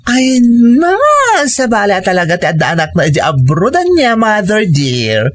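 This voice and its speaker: A woman with an Ilocano accent playing a gushing, flattering beautician in a radio drama, effusive and drawling. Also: fairly low pitch, normal speed. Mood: fawning. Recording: music bed under the voice.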